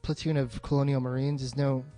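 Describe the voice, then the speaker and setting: A man's voice: medium pitch, level tone, fairly quick, warm tone, soft background music. A man chatting casually as a podcast co-host, retelling a plot in colloquial, sweary language. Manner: profane, colloquial.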